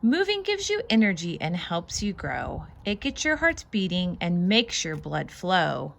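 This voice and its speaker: A woman reading a children's picture book aloud as host, expressive and sing-song, stressing the rhymes and adding casual asides. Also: on the low side, unhurried, creaky.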